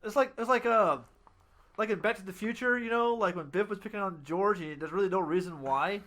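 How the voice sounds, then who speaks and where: A man's voice: sits high, brisk, a bit bright. A man co-hosting a podcast, chatting casually in an incredulous, joking manner. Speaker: podcast co-host. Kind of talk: casual comic chat. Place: garage.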